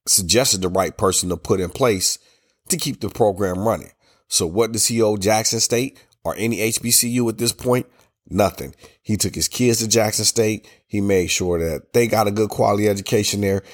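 A low-pitched American man talks solo on his podcast. His voice is congested and rough from a cold. He speaks in a loose, colloquial way, laying out opinions with emphatic repetition. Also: moderate pace. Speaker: podcast host. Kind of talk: casual solo podcast talk, opinionated commentary.

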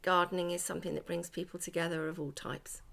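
A woman's voice: fairly low pitch, flat delivery, fast, creaky, background noise. A woman with a British accent in a casual interview, speaking as a local event organiser. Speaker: flower show organiser, interviewee.

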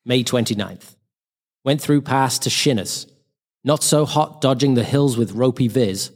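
A man's voice: mid-range voice, a little slow. Man reading as a clipped wartime airman, heavy reverb. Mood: matter-of-fact. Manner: clipped, telegraphic phrasing. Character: male wartime airman reading his clipped, wry diary. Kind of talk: diary reading. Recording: long hall-like reverb.

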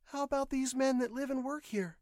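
Man putting on a high, anxious young woman. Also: steady tone, brisk, darkish tone, no room sound, clean studio sound. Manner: hesitant, questioning. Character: anxious, rueful young woman, injured passenger.

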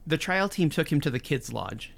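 Earnest, higher-pitched male advocate. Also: expressive, normal speed, creaky. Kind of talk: naturalistic audio drama acting.

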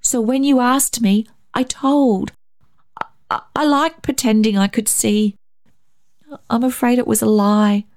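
A woman's voice: a little high, fairly even intonation, measured pace, darkish tone, pitched above the performer's own voice. A woman voicing an earnest, pleading little blind boy in a narrated story, with a childlike manner. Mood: shy confessing. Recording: roomy echo, faint music.

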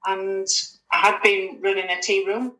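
A woman's voice: medium pitch, flat delivery, moderate pace, pushed and bright, reverberant, poor audio. A woman, a guest on a podcast, chatting casually with lots of fillers and restarts. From West Yorkshire, England. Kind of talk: casual conversational interview.

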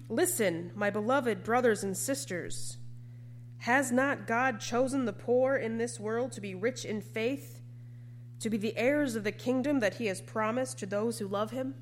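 Higher-voiced woman preaching a sermon. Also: a little hiss or hum.

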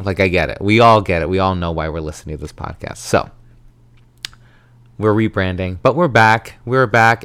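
A thirty-year-old man from the American South hosting his own podcast, talking solo in a chatty, animated way, dramatizing and wandering off on tangents. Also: fairly low pitch, no echo. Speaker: podcast host.

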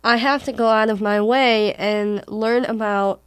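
Teen girl, Wisconsin accent, unhurried and thoughtful, clear voice, close and dry. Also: a little high, steady tone, dry recording. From Wisconsin, USA. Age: teens. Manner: thoughtful, halting, with frequent restarts. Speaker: daughter in conversation with her mother. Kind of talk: casual conversation.

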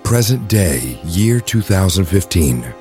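A man's voice: low-pitched, sing-song, takes it slowly, darkish tone, faint music behind. A man reading scripted narration for an audio drama.

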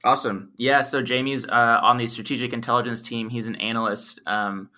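A man hosting a security podcast, a little croaky, chatty and relaxed with plenty of fillers. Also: medium pitch, a little fast. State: slightly croaky voice. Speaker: podcast host. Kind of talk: casual podcast chat.

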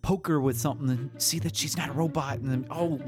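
A man playing a naive, excitable bunker dweller in an improvised roleplaying audio drama, casual and halting. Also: mid-range voice, close to an everyday voice. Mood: scheming, casual. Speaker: player in a roleplaying game. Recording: music bed under the voice.

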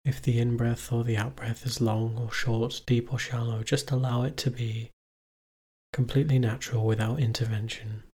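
A man leading a guided meditation as a teacher, giving calm, measured instructions with long pauses between phrases. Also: medium pitch, breathy edge.

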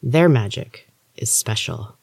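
A narrator voicing a smug, menacing male rival with a measured manner in a fantasy story. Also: medium pitch, very animated, gravelly, a little mellow, husky, dry recording, voice pushed down, heavily acted. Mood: smug, needling. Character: menacing male rival, cat-eyed, smug magic user.

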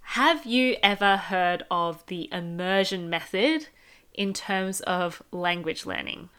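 A woman's voice: lowish voice, slow pace, fairly bright tone, clean tone. A woman in her thirties with an Australian accent, hosting a casual solo business podcast and chatting upbeat and directly to the listener.

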